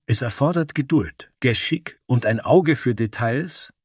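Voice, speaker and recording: A man acts out a sturdy dragonkin carpenter from a fantasy tale. He sounds proud and earnest, with deliberate delivery and a middling pitch, recorded very dry. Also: dry recording, natural voice. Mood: proud, helpful.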